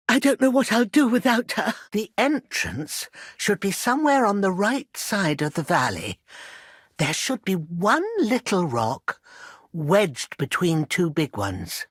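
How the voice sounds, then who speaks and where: An old village mother in a dramatic video game. Her voice is weathered and husky, recorded close and dry. She speaks slowly and earnestly, plain-spoken and heavy with care. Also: lowish voice, no echo, exaggerated voice. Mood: guarded, helpful.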